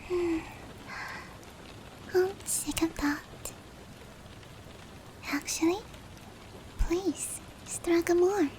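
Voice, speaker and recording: A woman voicing a female sleep paralysis demon in a breathy, whispered roleplay ASMR style, with hushed, close, drawn-out phrasing. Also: heavily acted. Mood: menacing, teasing. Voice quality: breathy, whispery, high-pitched. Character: female sleep paralysis demon, teasing menace turning tender. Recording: steady rain noise behind voice.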